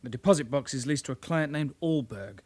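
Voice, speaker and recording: A man's voice: mid-range voice, lively intonation, brisk, a little mellow, no room sound, close to an everyday voice. Guy playing young grammar school detective, eager but hesitant, respectful, radio play. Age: 20s. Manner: hesitant, deferential. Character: keen, polite 23-year-old grammar-school rookie detective. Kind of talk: radio drama acting.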